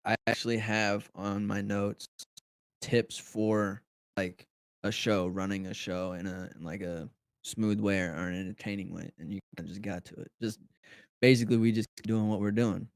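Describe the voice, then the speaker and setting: Male co-host. Fairly low with vocal fry, relaxed informal chat delivery. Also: normal speed, no echo. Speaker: podcast co-host. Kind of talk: casual chat.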